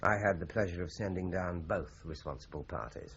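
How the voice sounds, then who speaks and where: An actor playing a courteous, methodical Scotland Yard inspector in a British radio crime drama, speaking in a polite, measured, probing way. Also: male voice, deep voice, fairly bright tone, husky, full character voice. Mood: calm, purposeful. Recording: muffled radio drama recording, faint noise.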